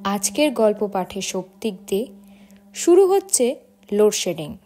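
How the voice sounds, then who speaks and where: A woman's voice: mid-range voice, big pitch swings, unhurried, warm tone, a little hiss or hum. A woman reading a scripted introduction as a programme announcer. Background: music.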